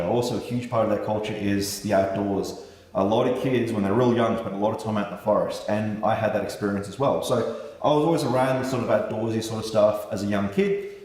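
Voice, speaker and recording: A low-voiced man, German his first language, talks to his viewers as a knife maker in a relaxed, chatty way, cursing now and then. He sits away from the mic, so the sound is distant and bounces around a hard, echoey room with a long tail. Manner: laid-back, chatty, swears casually. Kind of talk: casual vlog talk to viewers. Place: room with a light set up.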